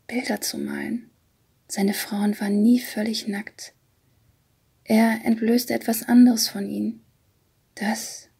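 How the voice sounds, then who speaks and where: A woman reading scripted literary narration in a hushed, breathy, half-whispered voice. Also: medium pitch. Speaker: audiobook narrator.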